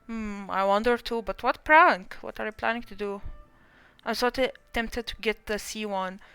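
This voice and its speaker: A woman's voice: medium pitch, normal speed, a bit bright, smooth, dry recording. A young woman in her twenties streaming a game, chatting casually and giggling while reading chat. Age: 20s.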